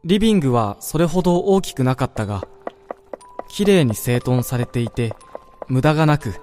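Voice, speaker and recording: A man playing a wistful dreamer in a radio drama, telling of his own dream in a measured, reflective first-person narration. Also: mid-range voice, lively intonation, warm tone, slight background noise, close to an everyday voice. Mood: reflective, calm. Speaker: narrator-protagonist. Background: background music.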